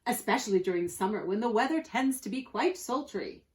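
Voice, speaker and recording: A woman's voice: fairly high pitch, animated, a little fast, a little mellow, lightly acted. A woman voicing a prim, polite male rascal in a storybook, speaking with proper, formal diction. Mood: polite, earnest. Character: prim, well-spoken pirate-crew hopefuls, polite male rascals.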